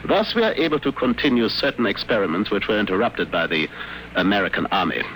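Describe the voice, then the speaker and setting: A pompous, sinister scientist. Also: male voice, fairly low pitch, animated, fast talker, a bit bright, low-quality recording. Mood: cold. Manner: pompous, theatrical, then coldly menacing. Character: sly German scientist posing as a pompous mental patient. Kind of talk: 1940s radio acting. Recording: old radio broadcast with faint hiss.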